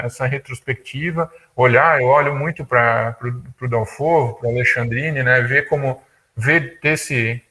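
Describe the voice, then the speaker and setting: Bright-voiced young man, halting. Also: mid-range voice. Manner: halting, repeats words mid-thought, colloquial. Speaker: guest speaker, tech entrepreneur. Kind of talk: informal talk at an online conference.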